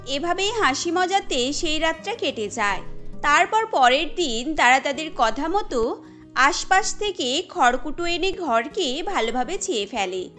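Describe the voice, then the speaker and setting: A woman narrating a cartoon story in a steady storytelling manner. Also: high-pitched, unhurried, soft background music.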